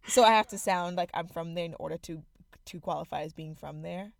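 A young woman from Zimbabwe, co-hosting a podcast in candid, animated casual chat with frequent fillers. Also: lowish voice, fairly quick, gravelly. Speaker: podcast co-host.

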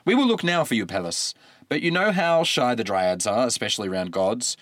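A man's voice: mid-range voice, very animated, fairly quick, fairly bright tone, no room sound. Casting: earnest pleading warrior, clever, read by a man, dry recording.

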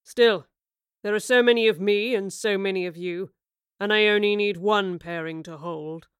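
Storybook villain, male, played by a woman: smug, unhurried, mock-tender over cold menace, dry close sound. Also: medium pitch, smooth, dry recording, lightly acted. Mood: cold mock affection. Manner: slow, mockingly sincere, unhurried.

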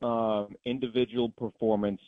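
A man's voice: fairly low pitch, flat delivery, moderate pace, dry recording. A man, a sports announcer appearing as a guest, giving emphatic, opinionated analysis in a conversational way over a dull, narrow-band line.

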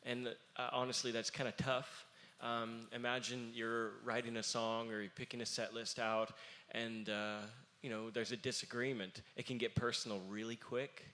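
A young man in a family band, speaking earnestly at a panel talk with many pauses and fillers. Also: medium pitch, flat delivery, bright, forceful tone.

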